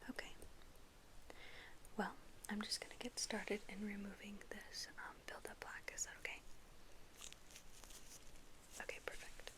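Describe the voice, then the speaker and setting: A woman speaking in a breathy whisper for an ASMR dentist role play, gently reassuring and halting, with pauses. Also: medium pitch, completely flat delivery, rough recording. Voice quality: breathy, mostly unvoiced whisper.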